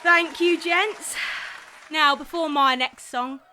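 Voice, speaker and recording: An actress playing a cheeky, defiant young Victorian tavern singer in a period drama, bold and teasing. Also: female voice, sits high, slow, bright, forceful tone, a faint crowd, slight background noise, exaggerated voice. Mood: saucy. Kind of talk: theatrical period drama acting.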